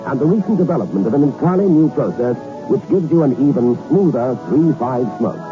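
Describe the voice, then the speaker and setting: A man reading a persuasive radio commercial in a smooth announcer style. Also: mid-range voice, animated, a little fast. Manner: smooth, persuasive salesman delivery. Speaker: advertising announcer. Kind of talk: upbeat cigarette radio commercial. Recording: dull 1960s radio commercial with loud music.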